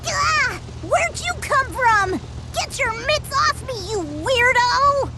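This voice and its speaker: Pint-sized cartoonish dragon sidekick, extremely high, cheeky and scrappy, video game. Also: female voice, slow pace, very noisy. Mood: indignant. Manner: brash, scrappy, slangy. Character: small, feisty, mouthy young dragon mascot. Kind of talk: video game cutscene acting.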